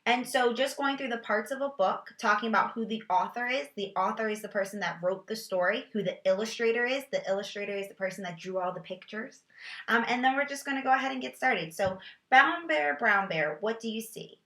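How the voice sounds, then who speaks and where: Female teacher, fairly high-pitched and bright, giving parents reading tips with expressive child-directed delivery, recorded in an echoey room. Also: brisk. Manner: expressive, sing-song storybook reading and child-directed questioning. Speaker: teacher and host demonstrating read-aloud. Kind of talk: read-aloud lesson with reading tips for parents. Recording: roomy echo.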